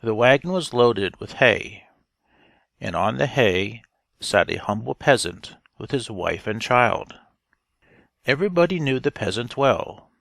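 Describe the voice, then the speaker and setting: A man narrates a tale as an audiobook reader. His delivery is slow and deliberate, with a fairly low voice that has a creaky edge. The sound is dry, with no room on it. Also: expressive, fairly bright tone, no room sound. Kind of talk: scripted storybook narration.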